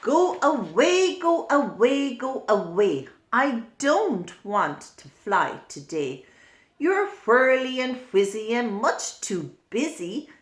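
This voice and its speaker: Woman voicing a high, fussy little storybook bird. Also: very animated, slow, roomy sound. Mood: grumpy dismissive. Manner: chanting, insistent rhyming refusals. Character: fussy female go-away bird refusing company.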